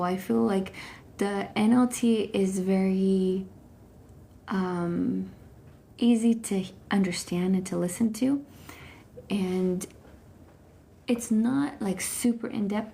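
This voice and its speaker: A woman's voice: medium pitch, creaky, warm tone, slight background noise. A woman in her thirties chatting casually about her Bible reading on a phone livestream from home, with lots of fillers and restarts.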